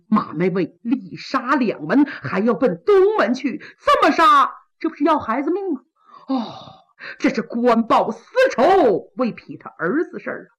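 A woman voicing timid, sympathetic male foot soldiers who speak low and hesitantly. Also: breathy edge, highs cut off, exaggerated voice. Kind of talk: traditional Chinese storytelling.

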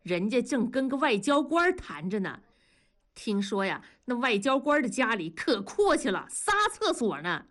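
Lady reader doing a whiny loudmouth husband in an audiobook, all indignant questions, really animated. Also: a little fast. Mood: indignant defensive. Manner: shrill tirades full of indignant rhetorical questions. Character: blustering, whining middle-aged husband, defensive and crude. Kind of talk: audiobook character dialogue.

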